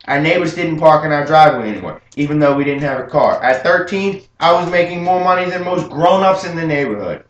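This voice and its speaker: A young man playing an adult gangster who proudly recalls his youth, telling it earnestly and rising with excitement, as a memorized film monologue. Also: fairly high pitch, brisk, hardly any pauses, dull audio, pitched above the performer's own voice, lightly acted. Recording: roomy room with faint hum.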